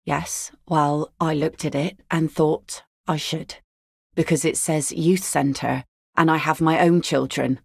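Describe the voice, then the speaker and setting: Blunt fisherwoman in a game, gravelly low voice, dry close sound. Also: fairly even intonation, takes it slowly, a little mellow, no echo, exaggerated voice. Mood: dry, wry. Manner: dry, wry and plain-spoken. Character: weathered woman net picker, blunt and dry. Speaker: fisherwoman and mother. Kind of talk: video game voice acting.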